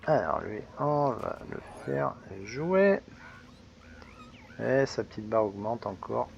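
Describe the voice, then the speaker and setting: Guy with a gravelly voice chatting through his game, lots of ums and restarts, bit of background hiss. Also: mid-range voice, lively intonation, slow pace, dull audio. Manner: rambling, hesitant, full of fillers and restarts. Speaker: let's play host. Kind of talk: casual gameplay commentary.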